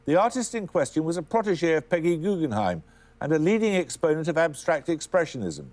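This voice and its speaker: A man hosting a televised quiz in a crisp, dry, formal manner, reading questions. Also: fairly high pitch, sing-song, a little fast, darkish tone. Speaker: quiz show host.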